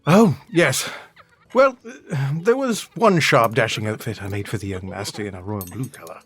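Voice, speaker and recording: A man playing a gentle, loving tailor father in an audio drama, tender and hesitant with a breathy voice. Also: lowish voice, expressive, moderate pace, close to an everyday voice. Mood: fond.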